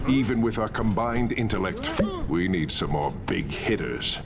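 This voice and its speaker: A man's voice: lowish voice, expressive, unhurried. An actor playing a calculating, authoritative male criminal mastermind in a video game. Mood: scheming.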